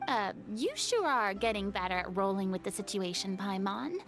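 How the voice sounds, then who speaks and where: An actress voicing a theatrical, haughty yet easily flustered young woman in an anime-style video game, with a dramatic, expressive delivery. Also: mid-range voice, moderate pace, music in the background, close to an everyday voice. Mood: imperious. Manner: dramatic, expressive, slightly pompous.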